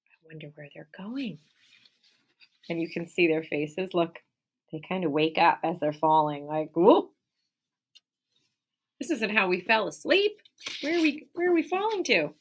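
A woman in her thirties, a teacher reading a picture book aloud to children, animated, with gasps and questions to her young listeners, recorded on a close webcam in a classroom. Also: lowish voice. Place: classroom or office with window and colorful wall hanging. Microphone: webcam at close range.

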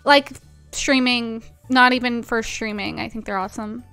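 A woman's voice: a little high, fairly even intonation, moderate pace, pushed and bright, clean tone, soft background music. A woman in her twenties chatting casually and informally as she streams a game, with plenty of "like" in her speech. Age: 20s. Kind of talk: casual live-stream chat while playing a game. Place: small indoor room at home.

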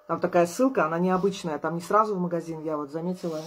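Woman, 30s, vlogger, weary but friendly casual talk, husky tone, camera at table distance. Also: lowish voice, quick pace. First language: Russian. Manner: tired, chatty, warm to followers, laughs often. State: low on energy. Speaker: artist and musician vlogger. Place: indoors at a table, small room.

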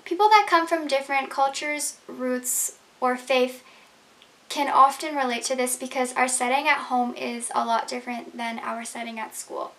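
A woman's voice: high voice, fairly even intonation, normal speed, bright, forceful tone. A young Canadian woman, Urdu her first language, earnestly explaining a prepared talk to camera from her bedroom. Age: young adult. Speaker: speaker. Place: bedroom, indoors.

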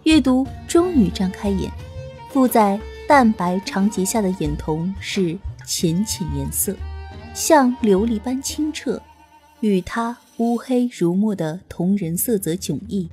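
A woman reading a novel as an audiobook narrator, in an expressive scripted storytelling style. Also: mid-range voice, unhurried, soft background music.

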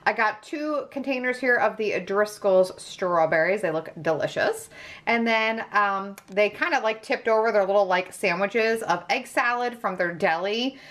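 A woman with a mid-pitched voice hosts a casual home vlog. She talks in a chatty, conversational style, leaning on words for emphasis. She is recorded indoors, speaking from behind the camera. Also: animated, normal speed, a bit bright. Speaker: vlog host. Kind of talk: casual grocery haul narration, chatty.

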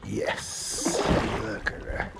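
A middle-aged man giving excited casual commentary while fishing from a small boat at sea, recorded on a head-mounted action camera. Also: extremely slow. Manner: excitable, cheers and shouts "bam" at catches. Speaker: angler vlogger. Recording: loud wind and boat noise.

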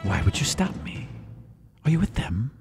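A man's voice: mid-range voice, big pitch swings, normal speed, gravelly, music in the background. A man voicing a nervous, secretive clawed woman thief on the run, speaking in clipped, formal phrases for a tabletop game. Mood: accusing, nervous.

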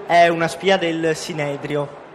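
A man's voice: fairly high pitch, flat delivery, slow, a little hiss or hum. A teenage boy who is a student actor, answering interview questions hesitantly with many fillers.

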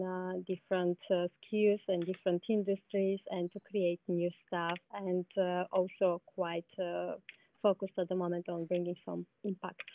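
A woman, a startup founder answering interview questions in a friendly, halting way with many fillers and repeats, speaking from outdoors over a video call. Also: medium pitch. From Bulgaria. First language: Bulgarian. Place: outdoors, sunny spot with palm trees. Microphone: laptop video call. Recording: tinny phone-like video call audio.

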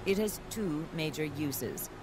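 A man doing measured, scripted narration for a documentary voice-over. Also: on the high side, expressive. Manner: measured, explanatory. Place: studio voice-over. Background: music.

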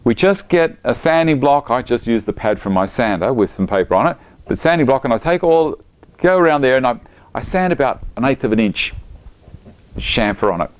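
A man's voice: mid-range voice, lively intonation, brisk. A middle-aged man, chatty and enthusiastic, explaining a woodworking project step by step in his home workshop. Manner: chatty, enthusiastic, talks while working. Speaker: woodworking instructor.

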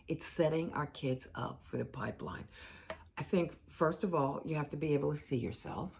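A woman's voice: low-pitched, creaky, a little hiss or hum. An older American woman playing a candid, caring law school administrator in a conversational, emphatic dramatized monologue. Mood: troubled, reflective. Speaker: performer in a dramatized narrative. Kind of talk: conversational monologue, dramatized first-person account. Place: small indoor room with bookshelves.

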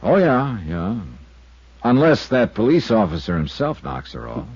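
A wry radio-drama investigator. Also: male voice, medium pitch, big pitch swings, unhurried, a little mellow, breathy edge, no echo, full character voice. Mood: guarded. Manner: dry, wry, matter-of-fact narration. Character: wisecracking male insurance investigator and narrator. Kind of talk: 1950s radio drama narration. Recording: dull old radio transcription.

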